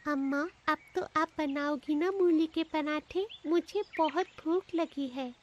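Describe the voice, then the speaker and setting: A woman's voice: high-pitched, measured pace, muffled recording, slight background noise, pitched above the performer's own voice. A woman voicing a sweet, caring little girl with a pleading, childlike manner. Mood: eager.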